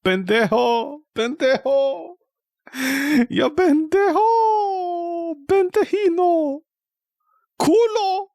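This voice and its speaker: A man's voice: very high voice, lively intonation, extremely slow, long pauses, darkish tone, no room sound, studio quality. A man streaming a game with casual, mocking, exasperated commentary and plenty of swearing. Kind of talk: casual, profane gameplay commentary.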